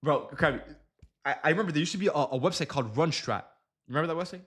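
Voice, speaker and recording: A man's voice: fairly high pitch, fast, a bit bright, slightly rough. A young man talks through his trades on a livestream in a loose, slangy way. He keeps breaking off into fillers and restarts while he explains, never settling into a set pace. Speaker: day trading streamer. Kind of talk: casual livestream chat while trading.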